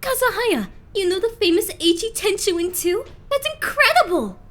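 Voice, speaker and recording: A woman voicing an excitable, easily flustered teenage idol boy in an anime fandub, gushing and stammering. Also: extremely high pitch, normal speed, exaggerated voice. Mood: starstruck, excited. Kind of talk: anime-style fandub voice acting.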